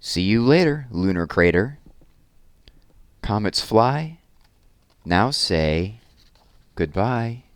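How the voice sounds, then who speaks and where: Male, low-pitched, lively intonation, unhurried storyteller, children's read-aloud, close dry sound. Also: no room sound. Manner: animated, deliberate storyteller delivery. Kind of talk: expressive children's picture book read-aloud with informal asides.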